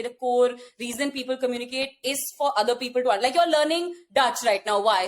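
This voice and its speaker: A young woman with an Indian accent, co-hosting a casual podcast and telling a story animatedly with frequent restarts. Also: fairly high pitch. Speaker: podcast co-host.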